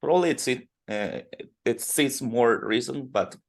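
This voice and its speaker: A man from Colombia interviewing a musician, asking slow, halting questions full of fillers. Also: medium pitch, creaky. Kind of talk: podcast interview in second language.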